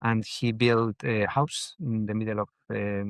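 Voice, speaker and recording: Spanish guy, lowish voice, thinks out loud with lots of uhs, dry podcast sound. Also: fairly even intonation, a little slow, dry recording. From northern Spain. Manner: thoughtful, many hesitations. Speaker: architect and educator, guest. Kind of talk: conversational interview answers.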